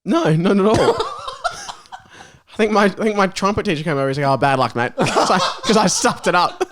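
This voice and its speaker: A man's voice: high-pitched, very animated, brisk, noisy background. A young Australian man, a comedian, chatting and reading aloud in a giggly, rambling way on a casual comedy podcast. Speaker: comedian, podcast guest or co-host.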